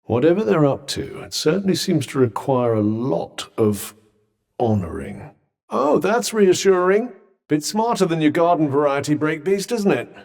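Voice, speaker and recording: A wry sounding magic bracelet. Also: male voice, on the high side, sing-song, normal speed, gravelly, a little mellow. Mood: sardonic. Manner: wry, sarcastic, clipped formal phrasing. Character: sardonic talking magic bracelet, male, dry-witted. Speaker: game character voice actor. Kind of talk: video game voice lines.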